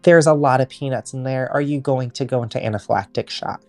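A brisk, motherly camp assistant director, voiced by a woman who talks fast about all she has to do. Her voice sits in a middle pitch and is recorded dry and close, with faint music behind. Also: darkish tone, dry recording. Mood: alarmed. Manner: fast, businesslike, cheerfully overbooked.

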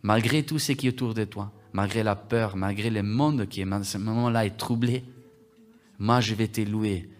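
A man from Italy, preaching a livestreamed sermon that repeats its phrases and builds from quiet talk to loud exhortation. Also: fairly low pitch, steady tone, moderate pace. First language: Italian.

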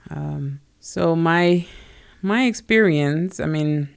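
Gravelly-voiced woman. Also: low-pitched, slow. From South Africa. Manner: chatty, hesitant, many fillers and restarts. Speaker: podcast co-host. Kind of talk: casual podcast chat.

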